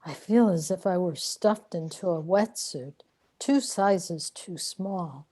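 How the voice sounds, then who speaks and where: Woman playing a slow, mellow, weary complainer. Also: on the low side. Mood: weary, complaining. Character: weary, complaining wanderer trapped in a too-tight body. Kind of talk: radio play acting.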